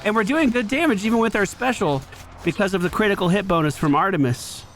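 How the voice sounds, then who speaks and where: A man's voice: sits high, fast talker, a bit bright, slight background noise. A man giving casual running commentary as he plays a video game, talking to himself with exasperated exclamations and swearing. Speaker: gaming video creator.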